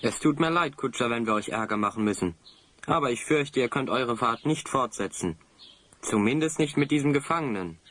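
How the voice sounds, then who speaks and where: A man's voice: medium pitch, lively intonation, moderate pace, fairly bright tone, natural voice. A man playing an earnest, heroic fantasy adventurer in an audio drama, speaking politely. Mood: resolute. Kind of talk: German audio drama acting.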